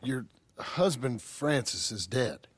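A male actor playing a gruff, terse, puzzled cowboy in his thirties with an American accent in a video game. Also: mid-range voice, expressive, takes it slowly, no room sound. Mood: hesitant, confused. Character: gruff, baffled cowboy gunslinger, plain-spoken. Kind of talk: video game character acting. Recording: clear rain noise behind.

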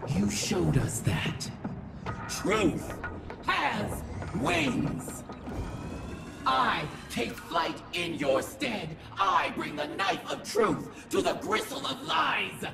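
A woman playing a vengeful, grieving alien war goddess in a video game, delivering a grandiose, theatrical monologue in a rasping voice. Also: on the high side, big pitch swings, exaggerated voice. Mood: fierce defiance. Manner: grandiose, slow, theatrical, swinging from whispers to shouts. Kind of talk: dramatic game audio-log monologue. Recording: echoey, distant voice with music underneath.

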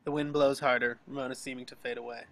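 A man's voice: medium pitch, little pitch movement, quick pace, fairly bright tone. A man reading scripted action descriptions as narrator of a table read over a video call.

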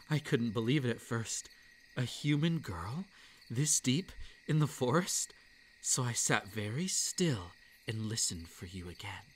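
A man voicing a possessive, gloating yandere kitsune monster boy in an intimate, hushed, menacing ASMR roleplay monologue. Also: medium pitch, a little hiss or hum. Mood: hushed storytelling. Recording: night insect ambience behind the voice. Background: crickets and night insects.

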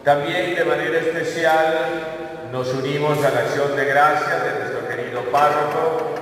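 A man with a Mexican Spanish accent, a priest leading Mass with slow, solemn chanted and spoken prayers. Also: a little high, steady tone, fairly bright tone, slight background noise. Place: large church full of people. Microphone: handheld mic, filmed from far by a phone. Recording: echoey church from far off.

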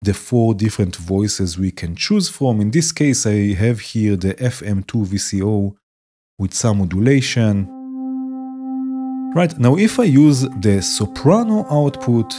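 Low male voice-over for a software synth lesson, easygoing explainer, loud synth music running underneath. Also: unhurried. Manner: relaxed explanatory, frequent "right?" tag questions. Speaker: modular synth tutorial host. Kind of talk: screen-recorded software tutorial. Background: synth music playing loudly under the voice.

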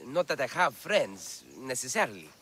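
An actor playing an eager, bookish scholar of antiquities in ancient Greece, with florid, theatrical enthusiasm in video game dialogue. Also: male voice, mid-range voice, very animated, normal speed, a bit bright, breathy edge.

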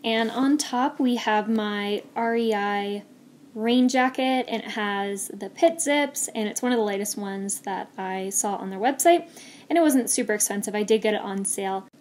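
A woman's voice: mid-range voice, normal speed, darkish tone, slight background noise. A young woman in her twenties chatting casually over her video as she walks through her hiking clothes. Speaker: vlogger. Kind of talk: voice-over gear walkthrough.

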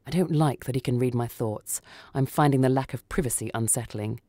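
Mellow man narrating a suspense story. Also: mid-range voice, a little fast, dry recording. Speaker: story reader. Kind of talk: scripted first-person suspense narration for radio.

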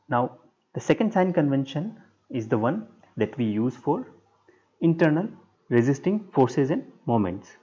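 A man giving a structural engineering lecture over a whiteboard screen recording, speaking in short, halting, measured phrases. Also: mid-range voice, warm tone, breathy edge. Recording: dull lo-fi sound.

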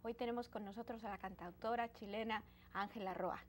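A woman hosting a TV interview show, upbeat and quick to laugh, in a small studio. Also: on the high side, steady tone, a little hiss or hum. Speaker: TV show host. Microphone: lapel mic.

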